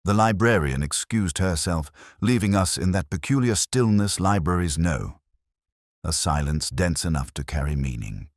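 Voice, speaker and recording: A man reading a scripted first-person detective mystery in a measured, brooding, literary manner. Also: low-pitched, lively intonation, dry recording, studio quality. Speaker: narrator.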